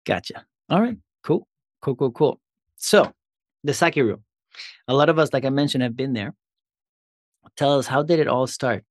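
A man's voice: medium pitch, moderate pace, pauses often, no echo, studio quality. A man hosting a local community interview show, asking questions in an upbeat way with brief acknowledgments. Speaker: podcast host.

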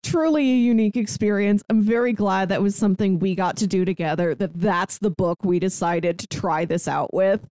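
A woman's voice: mid-range voice, normal speed, few pauses, a little mellow, no echo. A woman co-hosting a podcast, chatting casually as she recaps a year of reviews. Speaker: podcast co-host.

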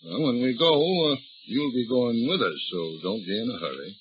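An actor playing a shrewd, folksy older police detective, drawling and calmly needling a suspect in a 1930s radio drama. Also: male voice, mid-range voice, normal speed, close to an everyday voice. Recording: dull old radio recording with faint hiss.

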